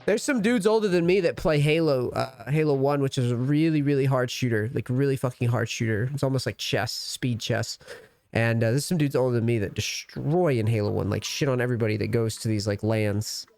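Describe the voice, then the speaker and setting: A man's voice: mid-range voice, fairly quick, creaky, a little mellow. A man in his thirties giving casual, rambling commentary as a gaming coach, full of slang and swearing. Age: 30s. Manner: rambling and profane, loose slang. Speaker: League of Legends coach and streamer.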